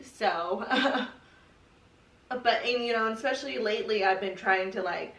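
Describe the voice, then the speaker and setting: A woman's voice: mid-range voice, a little slow, a bit bright. A young American woman from California talking candidly and casually, peppering her speech with swearing, "like" and "you know". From California, United States. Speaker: podcast guest sharing her personal story. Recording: roomy echo, distant from the mic.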